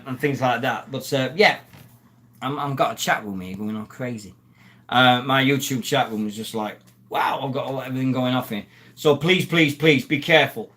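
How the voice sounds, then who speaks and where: Man in his fifties, rambling live-stream host, bright forceful voice. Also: mid-range voice. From Sheffield, England. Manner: rambling and chatty, greeting viewers and reading the chat aloud. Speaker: live stream host, former rugby league player. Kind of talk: casual live stream chat.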